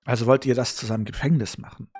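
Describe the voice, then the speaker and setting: A man's voice: mid-range voice, steady tone, very fast, a little mellow, slight background noise. A man giving casual, offhand gaming commentary as a streamer, with a blunt way of talking. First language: German. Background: game music.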